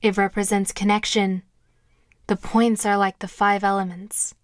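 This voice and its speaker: An actress playing a confident, tender witch who mentors with soothing, measured words in an audio drama. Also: medium pitch, level tone, no room sound, studio quality, natural voice. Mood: gentle, guiding. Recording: music playing behind. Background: music.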